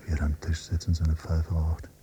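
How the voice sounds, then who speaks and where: Low, very dark-voiced brooding son. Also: male voice, completely flat delivery, normal speed, husky, heavily acted. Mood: brooding disgust. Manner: terse, clipped phrases, subdued. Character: brooding, detached adult son, quietly disgusted by his father. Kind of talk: introspective radio drama narration. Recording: noisy, humming cassette copy.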